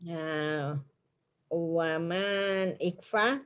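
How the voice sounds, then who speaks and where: A woman's voice: fairly low pitch, clear, resonant tone. A woman student hesitantly answering her teacher's questions on recitation rules. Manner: hesitant, with many pauses and fillers.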